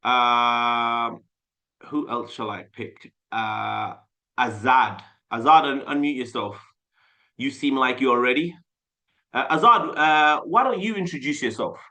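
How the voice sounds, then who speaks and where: A man with a bright, forceful voice leads a live online sales class over a call. He talks in an animated, loose way, repeating himself and peppering his talk with "like" and "uh". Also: mid-range voice, takes it slowly. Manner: animated, repeats phrases, frequent "like" and "uh". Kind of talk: casual live coaching session. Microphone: video call mic.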